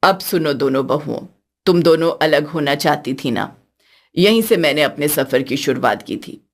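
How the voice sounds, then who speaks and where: A woman's voice: low-pitched, lively intonation, moderate pace, pitched below the performer's own voice. A woman voicing a stern, weary older mother-in-law in a cartoon story, firm and admonishing. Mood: solemn, stern. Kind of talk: Hindi cartoon story acting.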